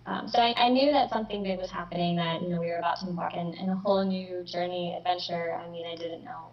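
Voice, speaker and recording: Guest: young woman, light Kentucky accent, lowish voice, reflective, lo-fi call audio. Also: fairly even intonation, fast. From Mexico, raised in Northern Kentucky. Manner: reflective, many fillers. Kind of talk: video call interview.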